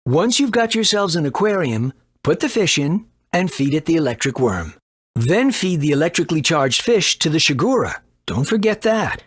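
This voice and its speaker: An actor playing an eccentric, boastful doctor and inventor in a video game, brusque and quick to scold. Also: male voice, on the high side, very animated, breathy edge, no echo. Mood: brisk. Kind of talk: animated video game voice acting.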